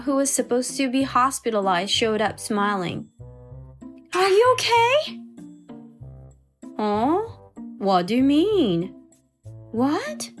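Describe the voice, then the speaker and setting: A woman playing a wary, hurt young wife who narrates her troubles in an expressive manga dub style. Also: a little high. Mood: surprised, relieved. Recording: music behind the voice.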